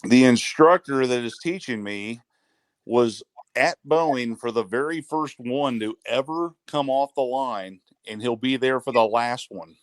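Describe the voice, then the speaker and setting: A man with a mid-pitched voice talks casually as a podcast guest. He speaks quickly and in a matter-of-fact way. The sound is dry and close. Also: dry recording, poor audio. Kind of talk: casual chat.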